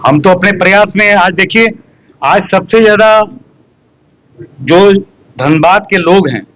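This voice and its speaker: A man's voice: sits high, a little slow, a bit bright, low-quality recording. A man speaking earnestly and rhetorically to reporters at a press interview. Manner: earnest, rhetorical, complaining about officials. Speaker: local activist interviewed by press.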